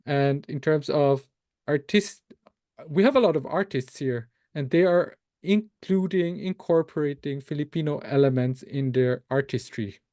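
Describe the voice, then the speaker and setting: A man from Austria whose first language is Tagalog, answering interview questions slowly, with many fillers and self-corrections. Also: fairly high pitch, warm tone, dry recording, studio quality. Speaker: researcher and author, interview guest.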